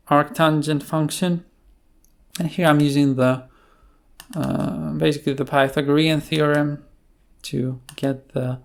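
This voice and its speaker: A man presenting an unscripted screencast coding tutorial in a hesitant, halting way, with pauses between phrases. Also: medium pitch, creaky.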